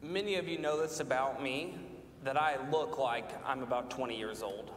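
An American man in his late thirties, a pastor giving a conversational, self-deprecating sermon with questions to the congregation. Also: normal speed. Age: late 30s. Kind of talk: conversational sermon with personal testimony. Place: large church sanctuary, speaking from the front. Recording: echoey church hall, faint rumbling noise.

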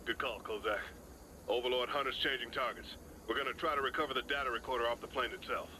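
A man's voice: mid-range voice, fairly even intonation, fast, a little hiss or hum. A man acting a firm special forces squad leader in a military shooter game, giving clipped orders. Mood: firm, controlled. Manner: clipped orders and radio jargon. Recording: game dialogue under loud vehicle ambience.

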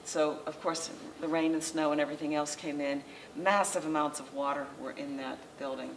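An older woman giving an informal slideshow lecture in a library meeting room, talking in a conversational, halting way with frequent restarts. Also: sits low, fairly even intonation. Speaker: local historical society president giving a lecture. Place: library meeting room, indoors, small audience. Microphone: far camera, masked speaker. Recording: distant camera mic with faint room noise.

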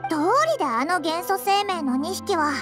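A woman's voice: very high voice, moderate pace, music in the background. A woman voicing a small, curious, brash fairy companion girl in an anime game, with blunt childish phrasing. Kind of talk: Japanese anime game voice acting.

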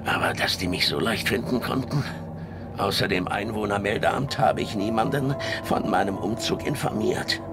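An actor playing a curt, guarded middle-aged horror novelist in a radio drama. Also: male voice, lowish voice, normal speed, natural voice. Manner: curt, dry, dismissive. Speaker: retired bestselling author. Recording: studio radio drama with music.

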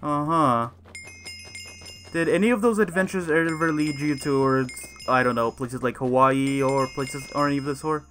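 A man's voice: fairly high pitch, moderate pace, fairly bright tone, close to an everyday voice. Man playing a dry, evasive guardian, music behind. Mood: evasive, probing. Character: adult male guardian, dry and evasive, minding two teens. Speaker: roleplay actor in a Minecraft series. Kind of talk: improvised roleplay dialogue.

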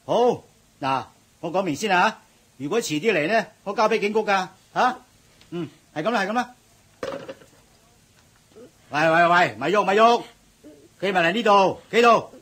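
An actor playing a loud, bossy middle-aged supermarket manager with a husky voice in a radio drama. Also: male voice, sits high, animated, normal speed, pauses often, exaggerated voice. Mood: officious. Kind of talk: 1980s radio drama acting.

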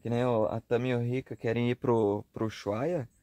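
A man in his thirties with a southern Brazilian accent chats easily to a handheld camera, tagging his sentences with little questions. His voice is mellow and dark in tone, mid-pitched, and sounds close and dry with no room around it. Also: fairly even intonation, moderate pace, dry recording. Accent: southern Brazilian, Rio Grande do Sul. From Rio Grande do Sul, southern Brazil. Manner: chatty and easygoing, with frequent tag questions. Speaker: travel vlogger. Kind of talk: casual vlog narration. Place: outdoor campsite on a grassy hilltop, earlier inside a car at night.

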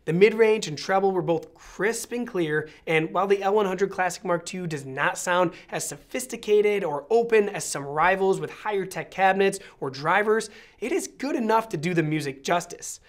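A man's voice: sits high, moderate pace. An American man in his thirties giving a scripted review to camera with polished, articulate presenter delivery. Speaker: presenter of an audio product review. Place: small indoor studio set.

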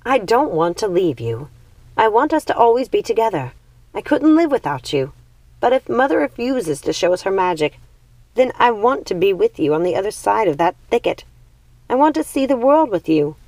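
A woman's voice: mid-range voice, big pitch swings, moderate pace, a little mellow, dry recording, pitched above the performer's own voice, poor audio. A woman voicing a restless, frustrated young witch daughter in a storybook, earnest and insistent. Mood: earnest pleading.

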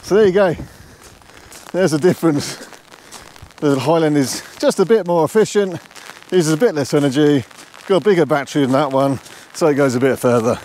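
A man's voice: on the high side, moderate pace, a little mellow, slight background noise. A man, chatting casually and matter-of-factly to camera as a car vlogger, on a lapel mic. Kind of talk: casual vlog talk to camera. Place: mostly outdoors, car parks and roadside. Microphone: lavalier with furry windshield.

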